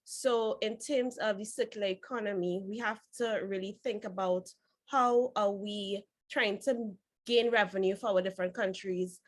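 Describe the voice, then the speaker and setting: A woman's voice: mid-range voice, steady tone, moderate pace. A young woman with a Trinidadian accent, explaining as a specialist on an online panel. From Trinidad and Tobago. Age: young adult. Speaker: circular economy specialist, panelist.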